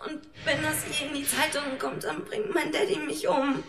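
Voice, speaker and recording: An actress playing a frightened, evasive young runaway in a German radio drama, halting and pleading. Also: female voice, high voice, fairly even intonation. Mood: tearful denial. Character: frightened, evasive young runaway woman under police questioning. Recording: roomy, echoing studio interrogation scene.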